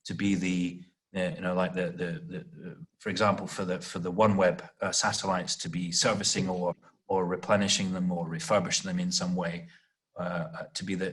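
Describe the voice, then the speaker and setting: A man answering as an executive guest in an interview, explaining off the cuff with halting, repeated words as he searches for phrasing. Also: low-pitched. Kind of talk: panel interview, extemporaneous explanation.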